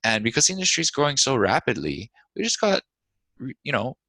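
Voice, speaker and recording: A man's voice: mid-range voice, very animated, fairly quick, pushed and bright, dry recording. A man from Hawaii, a guest entrepreneur talking in a casual interview in a modest, earnest way with frequent restarts.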